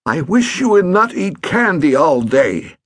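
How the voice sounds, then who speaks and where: A man voicing a fussy, irritable elderly gentleman who grows flustered. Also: on the high side, very animated, a little slow, voice pushed up, exaggerated voice. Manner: peevish, flustered.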